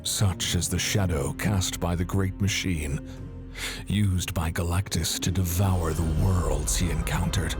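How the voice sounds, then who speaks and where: A male narrator with a low voice reads dramatic scripted prose. He is slow, measured and grave throughout. Music plays clearly behind him. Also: fairly even intonation.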